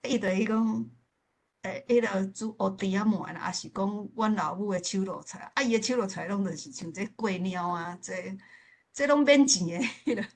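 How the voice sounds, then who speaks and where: A woman with a southern Taiwanese accent speaks at an average pitch. She joins a discussion in a casual, personal way, sharing reminiscences in everyday spoken style. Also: normal speed, darkish tone. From Pingtung, southern Taiwan. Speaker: forum discussion participant.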